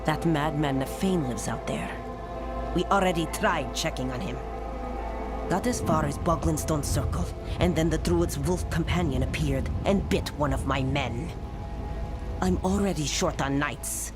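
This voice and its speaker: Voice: medium pitch, unhurried, loud music behind the voice, close to an everyday voice. An actor playing a jaded knight commander in a video game, blunt and dismissive. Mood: curt, irritated. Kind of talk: dramatic video game acting.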